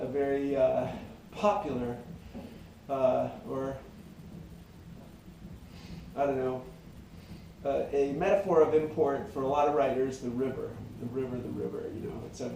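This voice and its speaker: A middle-aged man with a high tenor voice and a mellow tone wanders through his thoughts, pausing and restarting often. His voice rings in a very echoey room and sits away from the mic. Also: recorded from a distance, a little hiss or hum. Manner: meandering, reflective, many fillers and restarts. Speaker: singer-songwriter. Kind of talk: rambling casual monologue.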